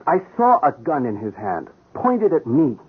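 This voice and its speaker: A proud police sergeant under a cloud, speaking with insistent, defiant earnestness. His voice is husky and moves up and down a lot, heard through a muffled, narrow radio drama recording. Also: on the high side, huge pitch swings, a little slow, exaggerated voice. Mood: troubled, defensive. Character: proud, embattled police sergeant accused of killing a suspect.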